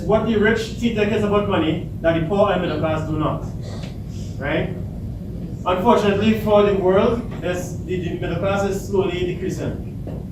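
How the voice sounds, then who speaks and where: Loud Trinidadian man in an echoey hall. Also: sits high. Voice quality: big voice. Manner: loud, unamplified, asks the audience questions. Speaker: speaker at a student workshop. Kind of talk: motivational talk to students. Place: large echoey hall, no microphone. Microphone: far camera. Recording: echoey hall, distant camera, audible noise.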